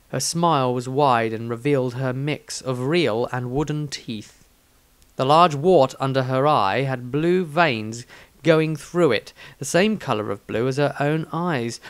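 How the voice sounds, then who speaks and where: Man, expressive story narration, animated pitch movement, author reading, dry studio-like sound. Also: no echo.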